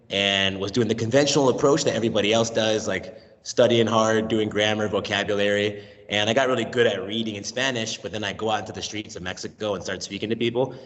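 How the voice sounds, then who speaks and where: An American man in his thirties, a language teacher talking as an interview guest, lively and given to acting out voices in his stories. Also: fairly low pitch, a little fast, long reverb tail. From United States. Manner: animated, acts out voices and accents in his stories. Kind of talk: casual interview talk. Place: remote call from Mexico.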